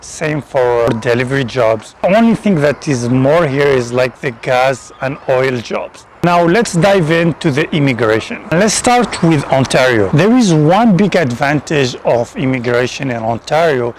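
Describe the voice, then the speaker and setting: A man's voice: mid-range voice, animated, a little slow, few pauses, a little hiss or hum, poor audio. A man from Tunisia in his thirties, giving a conversational to-camera explainer outdoors on a lapel mic, speaking English with small grammar slips. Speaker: immigration vlogger. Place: outdoors in a city park.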